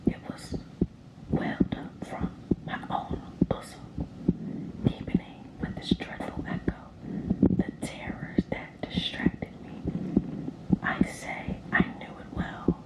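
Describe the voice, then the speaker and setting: A woman whispering a gothic horror story for ASMR as a sinister vampire storyteller, with deliberate, dramatic pauses. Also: roomy sound, poor audio. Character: vampire reading a sinister, gleeful horror tale.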